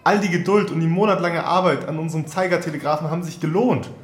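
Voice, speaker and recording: A man's voice: on the high side, fast, lightly acted. A man playing an earnest, brooding nineteenth-century Prussian inventor in a formal, declamatory audio drama monologue. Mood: proud, excited.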